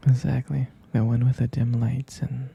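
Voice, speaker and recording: A man playing a jealous, possessive but tender boyfriend in an intimate ASMR roleplay, murmuring hesitantly in a breathy, gravelly half-whisper. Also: mid-range voice, flat delivery, moderate pace, dark tone, highs cut off, heavily acted. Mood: affectionate, nostalgic.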